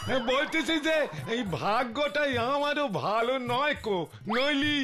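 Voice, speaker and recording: A man's voice: very high voice, unhurried, bright, forceful tone, full character voice. A man voicing a simple, cheeky village servant with a rural Bengali dialect in a cartoon. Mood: cheeky grumbling. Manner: naive, folksy, muttering complaints. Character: simple, cheeky rustic male servant sidekick, muttering back. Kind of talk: animated cartoon dialogue.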